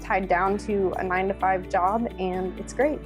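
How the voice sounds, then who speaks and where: A woman's voice: medium pitch, fairly even intonation, normal speed, soft background music. A woman talking in a documentary interview as a business coach.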